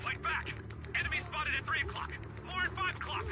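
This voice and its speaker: A man's voice: very high voice, fairly quick, music in the background. A man playing a panicked, foul-mouthed soldier in a video game, reporting urgently from battle.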